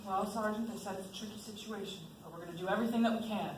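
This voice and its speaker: A woman's voice: mid-range voice, fairly even intonation, rapid-fire, close to an everyday voice. A young woman in a school play acting a reassuring, businesslike army captain. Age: young adult. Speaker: student actor in a one-act school play. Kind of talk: amateur stage acting. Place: indoor stage, recorded from the audience. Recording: distant echoey stage, clearly noisy.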